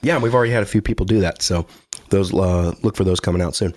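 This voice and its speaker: A man co-hosting a podcast in casual chat, a little hoarse as he is losing his voice, relaxed and joking. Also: low-pitched, fast. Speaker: podcast co-host.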